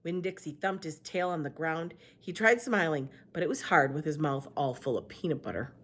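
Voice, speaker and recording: A woman, a teacher, reading a children's novel aloud with expressive narration. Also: moderate pace, slightly rough. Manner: expressive, lively pitch. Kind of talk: storybook read-aloud narration.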